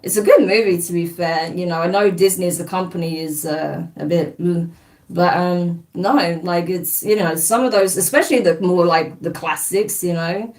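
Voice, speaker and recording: Chatty low-voiced woman with a slight Kiwi twang. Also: fairly even intonation, normal speed, a little mellow, recorded from a distance. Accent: slight New Zealand twang. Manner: chatty and rambling, brushing off trolls with blunt scorn. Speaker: oracle card reader hosting a live stream. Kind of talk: casual live-stream chat, reading viewer comments aloud.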